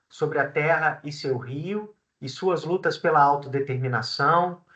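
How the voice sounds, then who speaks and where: A male professor introducing a guest speaker at a university seminar. He speaks in a fairly high, bright voice, measured and full of small fillers, and the video call audio sounds dull and lo-fi. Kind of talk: formal introduction.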